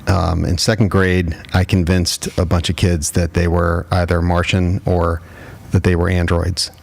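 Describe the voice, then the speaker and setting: Gravelly low-voiced man in his fifties. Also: completely flat delivery, a little slow. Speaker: podcast co-host. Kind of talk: casual chat.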